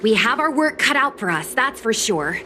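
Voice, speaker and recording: A woman's voice: a little high, very animated, normal speed, pushed and bright, a little hiss or hum. A woman voicing a chatty, confident young girl influencer in an anime game, breezy and persuasive. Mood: confident explaining. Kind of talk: anime game dialogue acting.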